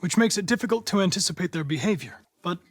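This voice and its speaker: A man's voice: sits high, animated, quick pace. A man voicing a polite, coolly logical young android detective in a video game, with formal, precise phrasing. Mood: calm, formal. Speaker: police investigator android.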